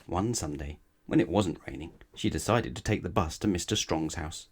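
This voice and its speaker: Low-voiced man reading a bedtime story. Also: normal speed, breathy edge. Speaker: storybook reader. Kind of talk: expressive read-aloud of a children's bedtime story.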